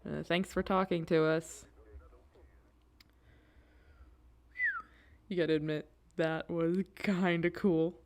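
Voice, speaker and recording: A woman acting an excitable, reassuring young girlfriend on a date in an audio roleplay, talking casually to the listener with hesitant asides and eager repetition. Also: fairly low pitch, moderate pace, a little hiss or hum, lightly acted. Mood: relaxed, amused.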